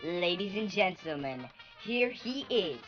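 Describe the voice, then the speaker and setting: An excitable announcer, put on by a performer for a theatrical show intro. The delivery is slow and drawn out, swinging widely in pitch with music underneath. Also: highs cut off. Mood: hyped. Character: showman announcer hyping a guest, drawn-out and excited.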